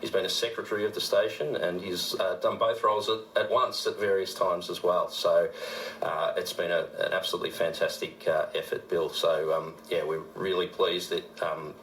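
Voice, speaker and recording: An Australian man working as a radio presenter, giving an informal on-air tribute with a halting delivery. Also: deep voice, flat delivery, fairly bright tone. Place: radio studio. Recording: roomy studio with faint noise.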